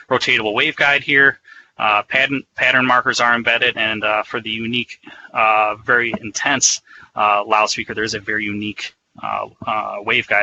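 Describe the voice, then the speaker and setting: A man's voice: lowish voice, pushed and bright, a little hiss or hum, rough recording. A man giving an explanatory, conversational product presentation in a semi-scripted webinar style.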